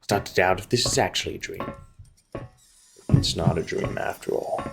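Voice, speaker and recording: A man's voice: deep voice, normal speed, gravelly, a bit bright, faint music behind. A man hosting a gaming video, reading visual novel narration aloud and adding casual commentary.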